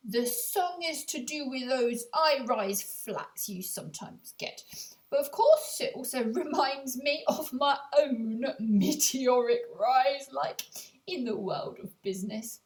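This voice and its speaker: A woman playing a self-satisfied business high-flyer in a radio interview for an audiobook story, boastful, exclamatory and laughing. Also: takes it slowly, far from the mic. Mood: smug, laughing.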